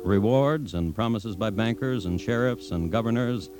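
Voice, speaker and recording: A man's voice: on the low side, normal speed, natural voice. An American man performing as a grave Old West storyteller, narrating a radio drama in solemn, rhythmic phrases. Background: dramatic music score.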